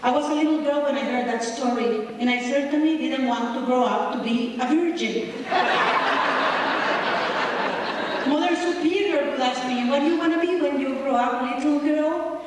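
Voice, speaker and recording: A woman's voice: high-pitched, measured pace, slight background noise. A woman from Nicaragua with a Nicaraguan Spanish accent, performing a brash, teasing stand-up comedy set in front of a live audience. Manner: brash, teasing, confessional punchlines. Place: large hall with live audience. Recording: distant, echoey home VHS tape of a live hall.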